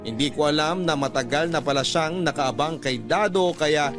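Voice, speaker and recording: A man with a fairly high voice reads a heartfelt letter as a radio drama narrator. He tells it in the first person as a personal confession, with music clearly playing behind him. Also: moderate pace. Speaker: narrator reading a listener's letter. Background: background music.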